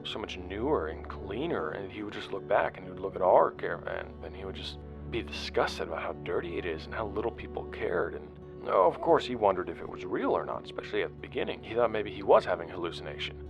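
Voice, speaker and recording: Actor as low-voiced, halting investigator over music. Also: male voice, sing-song, vocal fry, muffled recording, full character voice. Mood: intrigued, uneasy. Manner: halting, conversational, repeats words. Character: earnest, weary male investigator chronicling outcasts' histories. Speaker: narrator and interviewer. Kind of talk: audio drama narration and dialogue.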